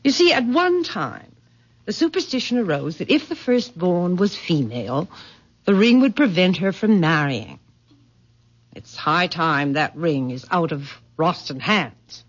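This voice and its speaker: A woman's voice: lowish voice, big pitch swings, measured pace. Haughty, swooping middle-aged mother. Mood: insistent, imperious. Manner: haughty, formal, commanding. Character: imperious, bitter, middle-aged mother, proud family matriarch. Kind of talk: old-time radio mystery acting. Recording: dull, lo-fi vintage radio recording.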